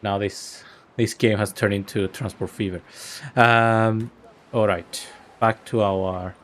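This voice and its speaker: Man, low voice, gaming commentary. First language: Greek. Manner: thinking aloud, relaxed and unhurried. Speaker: gaming video host. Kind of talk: casual gameplay commentary.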